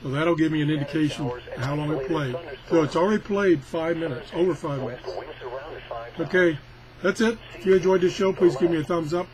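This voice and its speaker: A man's voice: on the high side, brisk, crowd noise, low-quality recording. A man giving a casual hands-on product demonstration as a video reviewer, rambling and thinking aloud. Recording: weather radio chattering in the background.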